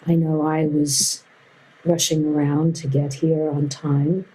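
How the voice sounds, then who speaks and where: A woman leads a guided meditation as a teacher. Her voice is low and soft-edged, with a dark, relaxed tone. She speaks very slowly and gently, leaving long pauses between short phrases. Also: a little hiss or hum, rough recording.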